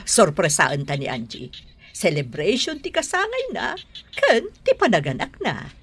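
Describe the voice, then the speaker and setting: A woman playing a warm, doting older mother and soon-to-be grandmother in a radio drama, with a husky, expressive motherly voice. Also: on the low side, unhurried, exaggerated voice. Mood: happy, excited.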